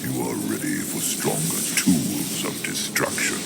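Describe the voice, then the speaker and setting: An imperious ancient lord of a fantasy game. His voice is extremely low and thick with gravel. He gives solemn commands over a noisy backdrop. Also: lively intonation, measured pace, full character voice. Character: imperious, ancient supernatural lord bestowing weapons.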